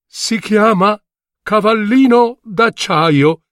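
A fairy-tale wizard inventor, as a man acts him out, confident and mysterious. His voice sits high and moves slowly, drawing words out like a showman with halting pauses. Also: fairly bright tone, no room sound, studio quality, exaggerated voice. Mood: proud.

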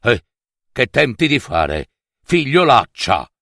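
Fairy-tale ogre, played by a man: enormous, gruff, greedy, grumbling, with slow delivery and very animated pitch. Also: dry recording, clean studio sound, heavily acted. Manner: exclaiming, smacking relish, grumbling. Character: huge, hungry, gruff man-eating male ogre, a father.

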